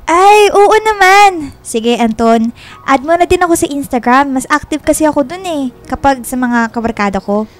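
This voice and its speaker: Radio drama as playful twenty-something Filipina, high voice, teasing and giggly, music bed. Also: female voice, expressive, normal speed. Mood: friendly, excited. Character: playful, teasing young woman in her twenties. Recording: music behind the voice. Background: background music.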